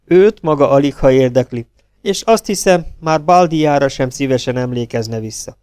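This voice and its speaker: A man voicing a sharp-tongued young woman secretary, scornful and mocking, in a crime story reading. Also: mid-range voice, clean tone, dry recording, clean studio sound, lightly acted.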